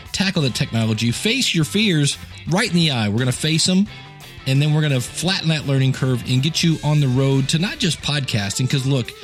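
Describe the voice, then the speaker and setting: A man speaks as a podcast host and coach, with music behind him. His delivery is informal and conversational, addressed straight to the listener in a mid-pitched voice.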